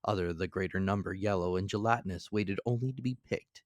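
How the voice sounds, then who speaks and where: Low-voiced man narrating a classic novel. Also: a little fast, dry recording, clean studio sound. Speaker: audiobook narrator. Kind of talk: dramatic scripted reading of classic fiction.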